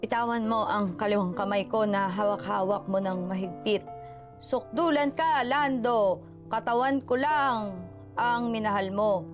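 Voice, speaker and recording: A woman's voice: medium pitch, unhurried, over music, natural voice. A woman playing a stiff radio play heroine, reading melodramatic lines in a wooden, declamatory way. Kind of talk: badly acted radio drama.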